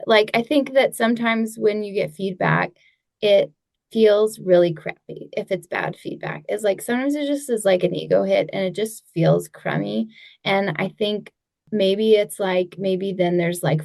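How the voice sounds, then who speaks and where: A woman coach talks on a podcast, working through her thoughts aloud with plenty of "like"s. Her voice has a gravelly edge and sounds close and dry. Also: medium pitch, dry recording. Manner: reflective, thinks aloud with many "like"s and restarts. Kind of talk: casual podcast chat.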